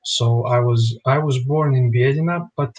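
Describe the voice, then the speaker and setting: A young man from Bosnia, a guest answering interview questions over a video call in a relaxed, thoughtful way. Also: medium pitch, normal speed, poor audio. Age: young adult. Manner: relaxed, thoughtful, "you know" fillers. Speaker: basketball player guest. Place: small home room.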